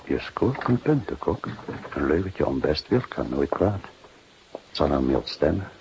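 A shrewd older police detective speaks deep and measured, politely probing as in a radio play. He stays calm and courteous. The recording carries clear background noise. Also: dull audio, exaggerated voice. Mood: musing. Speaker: actor playing police detective. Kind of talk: Dutch radio drama acting. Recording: noisy radio drama recording.

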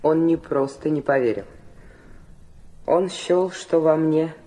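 An actress playing a poised, guarded society lady in a classic audio drama, speaking in a measured, candid manner. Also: sits low, warm tone, low-quality recording. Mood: candid, wistful.

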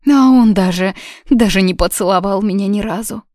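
A woman's voice: mid-range voice, normal speed, darkish tone, dry recording, clean studio sound, pitched above the performer's own voice, close to an everyday voice. A woman voicing a dramatic, heartbroken young woman in an audiobook, whining and snapping with volatile emotion. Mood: tearful wailing.

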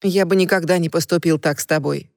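A woman voicing a tender young man who is devoted to his love and teases her gently. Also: lowish voice, steady tone, fairly quick, dry recording, clean studio sound, lightly acted.

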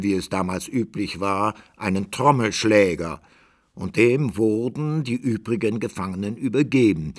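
A man as the narrator of a radio drama, telling an old adventure tale in a measured literary way with deliberate pauses. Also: fairly low pitch.

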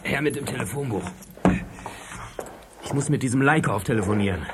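A man acts an irate, commanding boss in a radio drama. His voice is mid-pitched, set amid loud clattering noise. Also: lively intonation, a little fast, natural voice. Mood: indignant. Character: irate, imperious male boss. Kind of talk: radio play acting.

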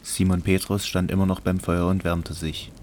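A man narrating a scripted Bible audio drama in a measured, sober way. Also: low-pitched, level tone, darkish tone, a little hiss or hum.

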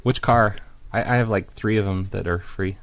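A man's voice: fairly low pitch, moderate pace, fairly bright tone. A man hosting a prank phone call show, chatting casually with callers.

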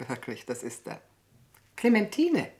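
An actress playing a bossy, reproving spinster lady in a German radio play, measured and critical. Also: deep voice, huge pitch swings, darkish tone, exaggerated voice. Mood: impatient, commanding.

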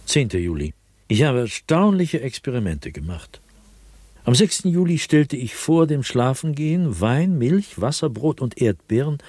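An actor playing a tormented man sliding into madness, recounting his nightmares as a diary in a radio drama, in a brooding, halting manner that swings between hushed and agitated. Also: mid-range voice, no room sound. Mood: tense, feverish. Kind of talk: dramatic radio play monologue.

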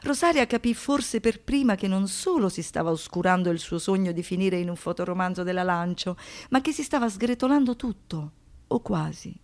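Radio theatre narrator: actress playing female storyteller, medium pitch, deliberate phrasing, dryly ironic. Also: animated, darkish tone, natural voice. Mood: grave, ironic. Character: woman narrator recalling seventies youth and crime.

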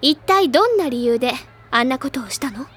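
A woman playing an excitable, self-assured high-school literature-club girl in an audio drama, speaking in a dramatic, lecturing way. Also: fairly quick, a little hiss or hum. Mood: indignant. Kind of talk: anime-style audio drama acting.

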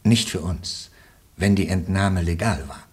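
A courteous but officious bank official in a radio play. The man's voice is low, and his phrasing is formal, correct and insistent. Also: unhurried. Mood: insistent, defensive. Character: polite, officious Parisian bank official, a man. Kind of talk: German radio play acting.

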